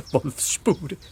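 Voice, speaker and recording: A man with a Swiss accent playing a brash, impatient fox detective in a children's radio play, hurried and dismissive. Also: fairly bright tone, a little hiss or hum. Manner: hurried, flustered, dismissive, theatrical.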